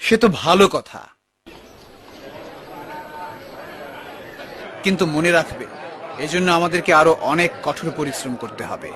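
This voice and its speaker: A man playing a pious, generous, patient garden partner in a cartoon, calm and gently admonishing. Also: fairly high pitch, a little fast, some chatter behind. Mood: devout, kind. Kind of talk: dubbed cartoon dialogue.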